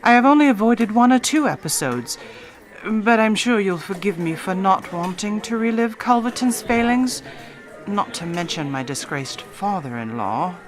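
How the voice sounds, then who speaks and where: A woman's voice: medium pitch, lively intonation, moderate pace, faint music behind, close to an everyday voice, low-quality recording. A woman acting a haughty society wife in a radio drama, coolly polite, formal and pointed. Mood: icily polite.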